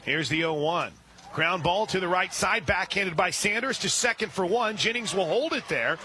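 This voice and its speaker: A man doing radio play-by-play of a softball game, calling pitches steadily and rising on big plays. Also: moderate pace, faint music behind. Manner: steady play-by-play with brief asides, rising when excited. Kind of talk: radio sports commentary.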